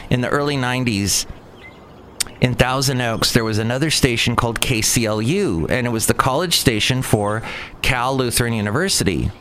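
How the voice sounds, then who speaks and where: A man's voice: mid-range voice, a bit bright, slight background noise. A middle-aged man from Southern California with an American accent, telling personal stories in a casual, rambling way, often correcting himself as he goes. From Southern California, United States. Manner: conversational, self-correcting, digressive. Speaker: veteran radio broadcaster telling personal stories. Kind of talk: casual rambling monologue of reminiscences.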